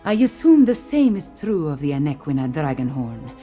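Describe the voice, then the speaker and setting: A woman voicing a composed, regal cat-folk queen in a video game, speaking in a measured, formal manner. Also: low-pitched, very animated, mellow, faint music behind. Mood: measured, reflective. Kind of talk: scripted video game dialogue.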